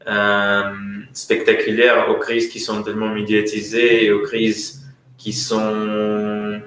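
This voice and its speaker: A man from Russia with a Russian accent speaks French as a guest economist at a conference, often pausing to search for words. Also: on the low side, completely flat delivery, fairly bright tone. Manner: hesitant, searching for words, many fillers and restarts. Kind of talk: conference talk. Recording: very echoey room.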